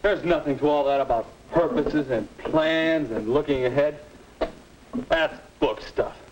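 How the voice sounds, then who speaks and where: Guy playing mopey american teen boy, defensive and slow, old classroom film w/ crackly noise. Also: on the high side, natural voice. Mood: brooding, frustrated. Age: young adult. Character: sullen aimless high school boy, defensive and doubting. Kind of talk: 1950 instructional film acting. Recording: noisy, clicking 1950 film soundtrack.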